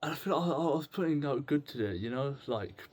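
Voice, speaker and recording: A young British man talking casually to camera in a confessional vlog, rambling, halting and self-deprecating. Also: fairly high pitch, lively intonation, darkish tone. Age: young adult. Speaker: video blogger. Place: indoors at home with music on in the background.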